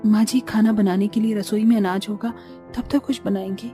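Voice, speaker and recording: A woman's voice: medium pitch, fairly even intonation, normal speed, mellow, faint music behind, close to an everyday voice. A woman voicing a hard-pressed, practical young village daughter-in-law in a cartoon story, earnest and complaining.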